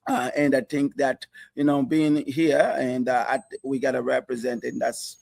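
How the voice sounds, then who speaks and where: A man from South Africa, a chef and restaurant owner, talking casually in a TV interview, halting with many fillers and restarts. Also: mid-range voice, level tone, gravelly, darkish tone, dry recording.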